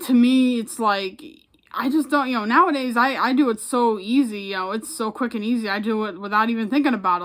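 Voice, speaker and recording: An American woman talks casually to the camera, as a vlogger would. Her delivery is informal and rambling, with a modest, self-effacing way of putting things. Her pitch sits in the middle for a woman. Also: fairly bright tone. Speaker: public address announcer and vlogger.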